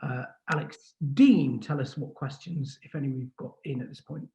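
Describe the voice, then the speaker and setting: A man moderating an informal panel on a video call, halting and repeating words as he goes. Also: mid-range voice, gravelly, mellow. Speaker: host, magazine editor. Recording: muffled, echoey call audio.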